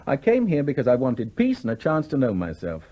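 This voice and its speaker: An actor playing a charming, idle English gentleman in a 1970s radio play, suave and teasing with small hesitations. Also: mid-range voice, huge pitch swings, a little fast. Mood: bored, restless. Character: charming, flirtatious, idle well-off English gentleman, restlessly bored.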